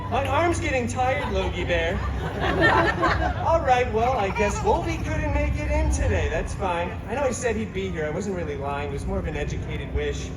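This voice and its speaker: An actor playing a cheeky, chatty superhero who tells a comic story to a live crowd, theatrical and sardonic with teasing asides. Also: male voice, high voice, expressive, normal speed, heavy background noise. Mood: hammy, wry. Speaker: costumed theme park storyteller. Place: live outdoor theme park stage. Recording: echoey park PA, loud music and crowd.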